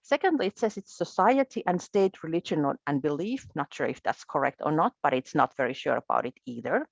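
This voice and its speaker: Low-voiced woman from Finland explaining software. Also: very animated, a little fast, creaky, dry recording, highs cut off. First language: Finnish. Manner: explanatory, methodical, with small restarts. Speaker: presenter. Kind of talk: software demo walkthrough.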